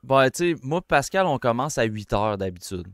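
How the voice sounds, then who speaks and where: A Québécois man co-hosting a hockey podcast in a relaxed, talkative way. His voice is smooth and resonant, of middling pitch, and sits close and dry on the mic. He chats with frequent fillers and restarts. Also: moderate pace, no room sound. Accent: Québécois French. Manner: chatty, animated interviewer, frequent fillers. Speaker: podcast co-host. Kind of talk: casual hockey podcast chat.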